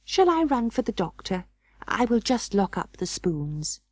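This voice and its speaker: Woman voicing a prim, high, fussy lady cat. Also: very animated, measured pace, a little mellow, no room sound, studio quality. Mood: solicitous. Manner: prim, emphatic, proper. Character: prim, fussy female cat hostess, firmly proper. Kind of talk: audiobook storybook character voice.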